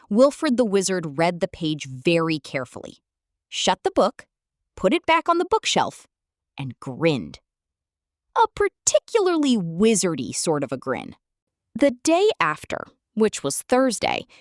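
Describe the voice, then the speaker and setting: Brief: female storyteller for kids, sing-song and expressive, slow delivery. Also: on the low side, no room sound, studio quality. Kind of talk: children's story read-aloud.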